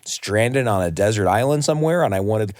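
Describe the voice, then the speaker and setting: A man's voice: lowish voice, lively intonation, fairly quick, no room sound, studio quality. An American man co-hosting a podcast in a conversational presenting style. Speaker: podcast co-host. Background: faint music.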